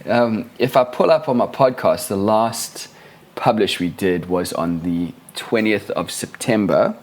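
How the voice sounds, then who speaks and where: Husky-voiced man hosting a podcast. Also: on the low side, unhurried. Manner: chatty, laughing storyteller with many restarts. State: hoarse from a cold. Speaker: podcast host. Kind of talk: casual podcast chat.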